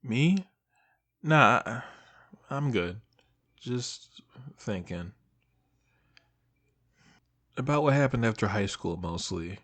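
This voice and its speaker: A man acting as a shy, nostalgic old high school best friend, talking casually and hesitantly to the listener in an intimate audio roleplay. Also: lively intonation, slow, long pauses. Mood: wistful, hesitant. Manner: hesitant, casual, soft-hearted, trailing off.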